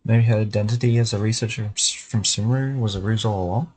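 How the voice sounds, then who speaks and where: A man's voice: fairly low pitch, steady tone, quick pace, a little mellow, a little hiss or hum, low-quality recording. A man streaming a video game, reading dialogue and narration aloud and adding casual commentary. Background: game music.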